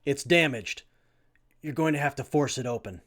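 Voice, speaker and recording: An actor playing a weak, dazed young man trapped in armor, speaking haltingly and faintly in a post-apocalyptic radio drama. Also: mid-range voice, a bit bright, close to an everyday voice. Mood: weak, relieved.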